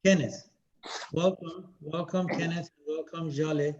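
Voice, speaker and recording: A man's voice: fairly high pitch, steady tone, a little slow, darkish tone, slight background noise. A man hosting an online meeting, politely welcoming participants and asking where they are from. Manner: welcoming, courteous. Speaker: meeting host. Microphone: video call. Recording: dull video call audio.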